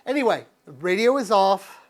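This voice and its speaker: A man in his fifties giving a casual hands-on gadget review, plain-spoken and thinking out loud as he goes. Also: sits high, expressive, normal speed. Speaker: product reviewer, vlogger. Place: living room and outdoors in a backyard by a canal. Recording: clear background noise, ambient sound.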